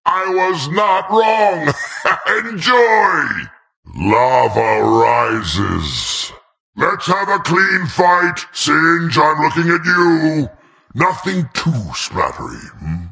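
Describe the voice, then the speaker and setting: A man's voice: a little high, sing-song, drawn-out words, vocal fry, bright, forceful tone, heavily acted. A man voicing a manic, gleeful, taunting fiery dungeon master in a video game, with theatrical emphasis. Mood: gleeful menace. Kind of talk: video game character voice lines.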